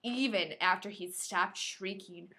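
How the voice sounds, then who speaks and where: A woman's voice: mid-range voice, unhurried, sharp tone. A woman co-hosting a podcast, speaking informally and playfully, with a dramatic flair when reading aloud. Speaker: podcast co-host. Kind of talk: reading a novel aloud with casual banter.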